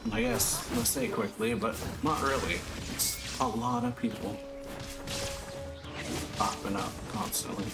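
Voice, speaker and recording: A man hosting a let's play, giving low-key, rambling casual commentary with muttered interjections. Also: wildly swooping pitch, measured pace, creaky. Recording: loud game music and crashing effects behind. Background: game music and combat sounds.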